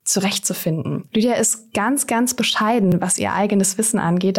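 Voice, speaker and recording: A woman hosting a podcast interview, upbeat and full of praise, her voice a little worn from being under the weather. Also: mid-range voice. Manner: upbeat, effusive introductions. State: slightly under the weather, voice strained. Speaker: podcast host.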